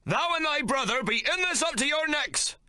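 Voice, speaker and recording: A man voicing a harsh, bullying ship's officer who shouts accusations. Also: very high-pitched, lively intonation, moderate pace, no echo, studio quality, voice pushed up, exaggerated voice. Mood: furious.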